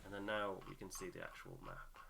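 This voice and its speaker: A man's voice: sits low, wildly swooping pitch, a little fast, gravelly, background noise. A man hosting a game playthrough, narrating scenes and explaining the rules.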